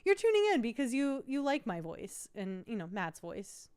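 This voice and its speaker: A woman's voice: fairly high pitch, big pitch swings, moderate pace, a little mellow, smooth. A woman co-hosting a casual recap podcast, chatty and drily teasing. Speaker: podcast co-host. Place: studio.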